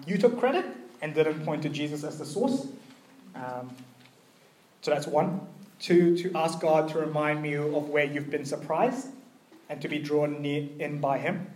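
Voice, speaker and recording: A young man giving a conversational sermon, earnest and personal, with a gently hesitant delivery. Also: fairly high pitch, reverberant, low-quality recording. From New Zealand and Malaysia. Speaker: preacher.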